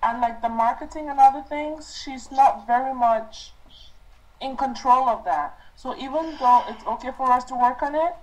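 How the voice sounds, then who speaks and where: Higher-voiced woman on a business call. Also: normal speed, low-quality recording. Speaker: team member asking questions. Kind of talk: spoken question on a business call. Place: conference call.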